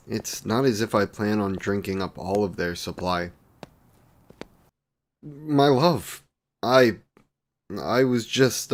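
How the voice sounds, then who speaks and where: A man playing a courtly, devoted, youthful vampire boyfriend in a romantic audio roleplay, with archaic, formal wording and tender endearments. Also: on the low side. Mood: flustered, indignant. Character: courtly, devoted 128-year-old male vampire boyfriend, youthful, doting.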